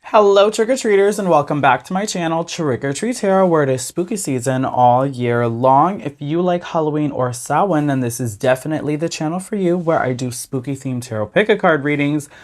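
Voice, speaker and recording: A man with a slightly hoarse voice hosting a tarot reading channel, talking casually and earnestly to his viewers. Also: on the high side, darkish tone. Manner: earnest, often adds "okay?" and "you guys". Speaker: tarot reader and channel host. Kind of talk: casual talk to camera.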